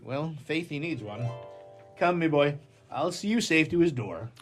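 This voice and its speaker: A man's voice: on the high side, animated, measured pace, faint music behind. A man playing a scolding but kindly policeman in a live radio comedy.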